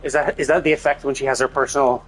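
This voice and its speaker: A man livestreams a game with an informal, conversational delivery at a middling pitch. He rambles through his reactions as casual commentary, with music playing faintly behind his voice. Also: fairly even intonation, rapid-fire, a bit bright.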